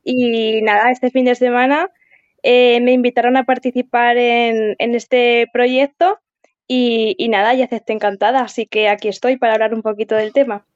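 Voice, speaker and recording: Young female guest, higher pitch, casual introduction, muffled dry recording. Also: little pitch movement, normal speed, no echo. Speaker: podcast guest. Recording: dull, muffled audio.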